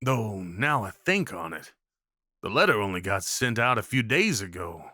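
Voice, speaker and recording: A man's voice: on the low side, big pitch swings, normal speed, sharp tone, dry recording, studio quality, exaggerated voice. A man playing a rugged, kindly cowboy of the Old West in a roleplay audio drama, with a folksy, reassuring drawl. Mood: friendly, amused.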